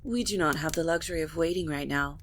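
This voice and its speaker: A woman playing a composed, regal vampire matriarch, speaking formally and with measured authority in an audio drama. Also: low-pitched, slight background noise, natural voice. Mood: solemn, commanding. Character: stately, composed vampire matriarch presiding over her family. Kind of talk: dramatic audio roleplay acting.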